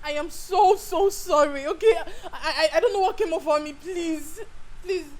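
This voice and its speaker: A woman's voice: very high-pitched, normal speed, a little mellow, slight background noise. A woman playing a tearful pleading young lover. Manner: pleading, sobbing.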